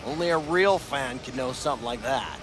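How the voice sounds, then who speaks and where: An actor playing a skeptical, gruff rock fan in his sixties selling goods in a video game, dismissive and folksy. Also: mid-range voice, lively intonation, brisk, fairly bright tone, faint music behind, lightly acted. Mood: begrudgingly won over. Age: 60s.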